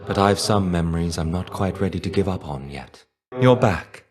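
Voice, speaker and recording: A man playing a weary, melancholy shade of a fallen ancient Greek warrior in a video game, measured, wistful and dryly understated. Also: low-pitched, expressive, a little mellow. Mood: resigned, reflective, then mildly welcoming. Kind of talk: video game character voice lines.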